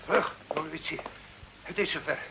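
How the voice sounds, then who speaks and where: A man's voice: a little high, moderate pace, close to an everyday voice. A man acting as a village messenger with grave news in a radio play. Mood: urgent, grave. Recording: muffled, very noisy old radio recording.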